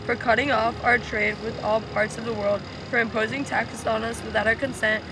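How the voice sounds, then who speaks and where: An adult reading a historical document aloud in a halting way, stumbling over a word now and then. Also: mid-range voice, flat delivery, noisy background. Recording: loud music behind the voice.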